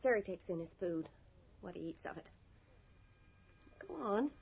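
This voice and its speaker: A woman's voice: mid-range voice, animated, moderate pace, gravelly. An actress playing a suspicious, commanding wife and mother in a radio drama, curt and wary. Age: middle-aged. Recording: muffled, noisy old radio recording.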